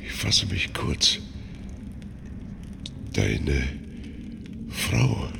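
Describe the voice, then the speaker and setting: A dying old monarch with an extremely deep, gravelly voice. He is weak yet commanding, giving last counsel slowly and haltingly in a radio play, over clear noise. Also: big pitch swings, exaggerated voice. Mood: weary, commanding. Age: older. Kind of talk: German radio drama acting.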